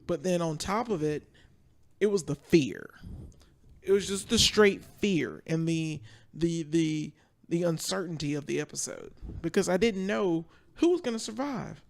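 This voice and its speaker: A man chatting casually on a podcast, telling stories in an excitable, emphatic way. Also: fairly high pitch, unhurried, gravelly. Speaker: podcast panelist. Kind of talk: casual chat. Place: podcast studio.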